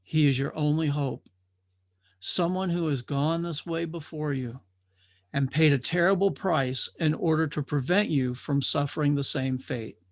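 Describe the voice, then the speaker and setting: A man's voice: a little high, dry recording. Man voicing a grave, ancient riverside watcher. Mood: calm, earnest. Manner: terse, grave, unhurried delivery.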